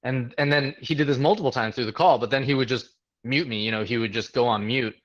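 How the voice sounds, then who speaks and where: A man's voice: mid-range voice, quick pace, lo-fi sound. A man from the New York area, a guest recounting a job interview in a casual, conversational way with frequent fillers and restarts. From New York area, USA. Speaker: interview guest, tech hiring manager.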